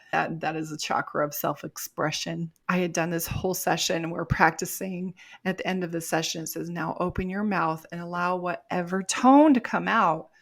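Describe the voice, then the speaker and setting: An older woman, a life coach, telling a personal story on a podcast in an earnest, reflective way. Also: fairly low pitch. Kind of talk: podcast interview.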